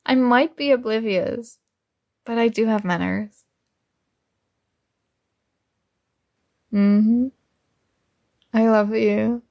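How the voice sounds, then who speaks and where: A woman's voice: a little high, slow pace, gravelly, dull audio. A woman playing a kind, earnest and oblivious female friend in an intimate ASMR roleplay, speaking casually and a little hesitantly. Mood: sheepish, affectionate. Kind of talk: ASMR roleplay, intimate one-sided dialogue.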